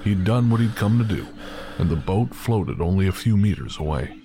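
A man's voice: low voice, animated, normal speed, dark tone. A man narrating a scripted audio drama as its storyteller.

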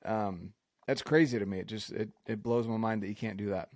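A man's voice: lowish voice, steady tone, fairly quick, darkish tone, no room sound. A man from the United States hosting a casual conversation, enthusiastic, often repeating words as he starts a thought.

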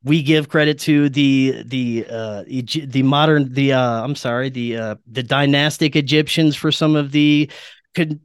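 A man's voice: medium pitch, fairly even intonation, a little slow, clean tone, dry recording, studio quality. A man speaking as a podcast guest, explaining his views conversationally and sometimes searching for words.

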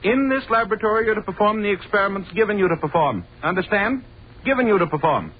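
An actor playing a stern, exasperated university professor, speaking in a clipped, pedantic, sarcastic way in a 1930s radio drama. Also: male voice, high voice, big pitch swings, quick pace, phone-quality sound. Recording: thin, noisy 1930s radio recording.

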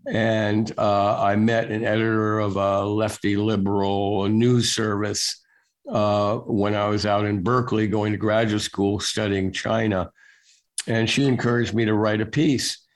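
An older man being interviewed as a guest, telling stories in a relaxed, wry way with lots of filler words. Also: fairly low pitch, measured pace. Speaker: guest, national security reporter. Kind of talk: casual storytelling interview.

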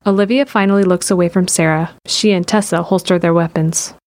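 A woman's voice: fairly low pitch, steady tone, fairly quick, darkish tone. A woman reading scripted narration for an audio drama. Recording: music behind the voice.

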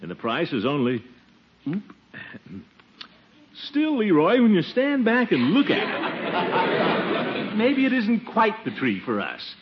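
Pompous middle-aged uncle, soft-hearted blusterer, hugely animated swoops, 1940s radio comedy, muffled recording. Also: male voice, high-pitched, takes it slowly, pauses often, a crowd in the background, background noise, full character voice. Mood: jovial, blustering.

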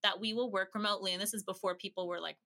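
A woman telling personal stories casually as a podcast guest, chatty and quick to laugh. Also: medium pitch, steady tone, bright, forceful tone. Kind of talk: casual anecdotal storytelling in an interview.

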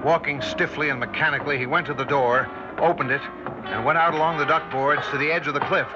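A man's voice: fairly high pitch, fairly even intonation, fast talker, lightly acted. A man playing a haunted witness who recounts a terrifying encounter with tense drama in 1960s radio style. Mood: grave, haunted. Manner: tense, dramatic first-person storytelling. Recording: muffled old radio recording with music.

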